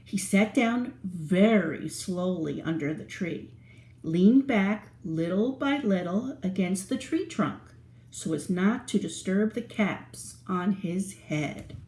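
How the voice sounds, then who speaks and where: Woman, children's storyteller, playful, slow, echoey room. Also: on the low side, expressive, a little mellow. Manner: playful, sing-song, talks to young listeners. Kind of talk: reading a picture book aloud to children.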